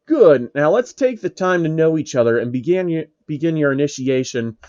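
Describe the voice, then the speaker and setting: A calm male guild leader who keeps his voice steady even when he threatens someone, as a man reads him. The sound is dry and dull, with a lo-fi quality. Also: fairly high pitch, moderate pace, dry recording, lightly acted.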